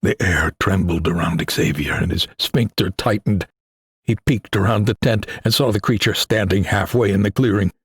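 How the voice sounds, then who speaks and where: A man reading a suspenseful adventure story as an audiobook narrator, in a grave, deliberate, dramatic manner. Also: low voice, breathy edge, dry recording, studio quality.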